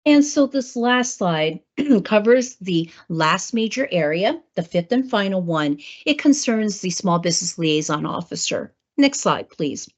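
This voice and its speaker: A woman with a hoarse voice from a cold, presenting a government training webinar, clearing her throat and using many fillers. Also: medium pitch, expressive, a little slow. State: hoarse, getting over a cold. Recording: muffled lo-fi webinar audio.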